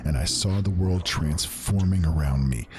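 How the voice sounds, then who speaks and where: A man narrating a first-person fantasy tale in a measured, reflective way. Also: deep voice, a little mellow, slightly rough. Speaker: storyteller. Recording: faint music behind.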